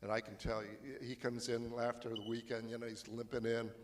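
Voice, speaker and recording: A man's voice: mid-range voice, monotone, a little fast, slight background noise. Guy moderating a panel, casual and jokey, reverby auditorium. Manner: jokey and informal. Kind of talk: speaker introduction. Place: large auditorium. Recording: long hall reverb.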